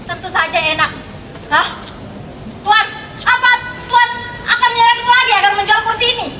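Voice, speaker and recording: A woman's voice: extremely high pitch, normal speed. A young woman playing a flustered, indignant married lady in a stage drama, exclaiming theatrically. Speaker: student stage actor. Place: outdoor car park stage. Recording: distant echoey stage recording with loud background noise.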